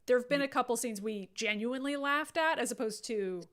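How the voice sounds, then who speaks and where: Woman, fairly high voice, podcast co-host, casual chat, wry, opinionated. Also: a little fast, fairly bright tone. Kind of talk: casual group discussion.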